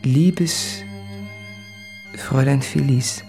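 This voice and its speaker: Anguished thirtyish letter writer, radio play, gravelly intense confessing, music underneath. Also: male voice, mid-range voice, very slow, darkish tone. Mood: tender, earnest. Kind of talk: literary radio drama monologue.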